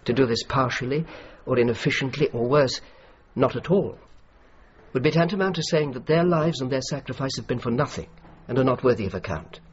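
An actor playing a courteous, dutiful English civilian official in a radio drama, formal and measured, with an educated English accent. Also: male voice, mid-range voice, lively intonation, lightly acted. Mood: earnest, insistent. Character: earnest, dutiful middle-aged English civilian official, courteous yet insistent. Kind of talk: radio drama acting and reflective narration. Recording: slightly muffled, faint noise.

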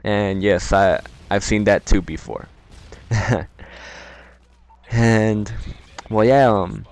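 A man with a Mexican accent giving casual, rambling, slangy commentary over his gameplay. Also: lowish voice.

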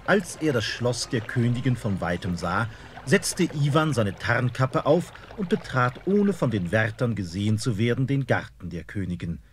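Expressive male fairy-tale narrator. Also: medium pitch, moderate pace, a little hiss or hum.

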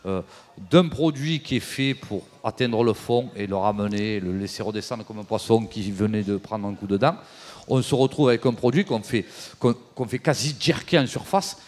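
A man in his forties giving an animated, explanatory talk on a trade show stage into a handheld mic, with many fillers. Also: lowish voice, moderate pace. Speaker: fishing tackle presenter. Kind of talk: casual live demo talk. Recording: long reverb of a hall.

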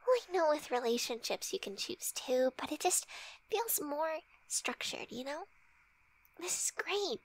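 A woman playing a flirty, shy, teasing best friend in an intimate ASMR roleplay, with breathy, hesitant, soft speech. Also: high-pitched, lively intonation, measured pace, low-quality recording. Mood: tender, shy. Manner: breathy whispering, hesitant, giggly.

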